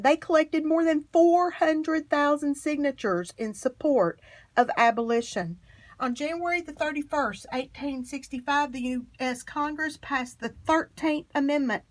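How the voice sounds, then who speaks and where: A woman reading a children's history book aloud in an expressive, emphatic storytelling manner. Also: high voice. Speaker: storybook reader.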